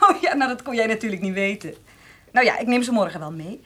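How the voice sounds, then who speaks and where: Practical outspoken housekeeper, highish lively voice, radio play. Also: female voice. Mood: practical, pleased. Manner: brisk, matter-of-fact. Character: brisk, practical, outspoken female home help.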